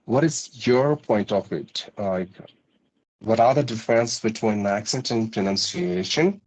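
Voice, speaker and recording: A man's voice: on the low side, measured pace, a little mellow, poor audio. A man interviewing a learner for spoken practice, asking long questions with hesitant fillers. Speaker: English teacher interviewing a learner.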